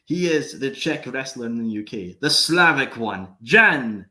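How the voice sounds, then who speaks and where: Male podcast host, animated pitch, chummy and upbeat, casual interview. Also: unhurried. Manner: chummy, upbeat hype-man intros.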